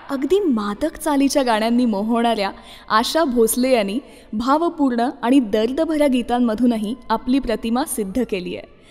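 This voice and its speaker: A woman presents a radio music show, speaking expressively and conversationally to her listeners. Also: high voice. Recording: long reverb, music behind.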